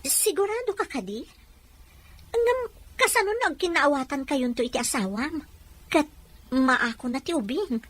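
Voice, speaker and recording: Kindly wife role, high-pitched, very animated and questioning drama delivery, played by a woman. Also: unhurried, pauses often. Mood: surprised, questioning. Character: kindly married woman taking in a baby. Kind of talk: Ilocano audio drama acting.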